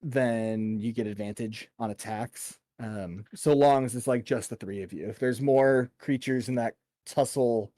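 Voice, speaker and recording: Slow-paced man running a tabletop game. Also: medium pitch, dark tone, no echo. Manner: chatty, colorful, informal. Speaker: game master. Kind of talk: improvised tabletop game narration, casual.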